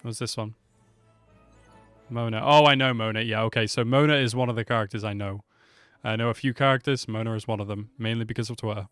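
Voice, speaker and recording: A man's voice: lowish voice, fairly even intonation, fast, a bit bright, smooth, dry recording. A man in his twenties giving casual reaction commentary into a close microphone. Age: 20s. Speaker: reaction video host. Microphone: close desk microphone. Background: game trailer music.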